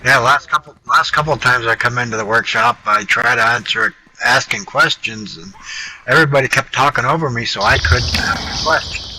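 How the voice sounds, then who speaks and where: Soft-spoken man on a noisy line. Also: mid-range voice, fairly quick. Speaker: workshop participant. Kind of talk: casual gaming chat.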